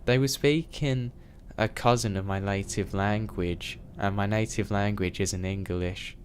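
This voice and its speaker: A man reading true scary stories aloud in a steady, even first-person narration. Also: lowish voice, unhurried, slight background noise. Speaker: storyteller.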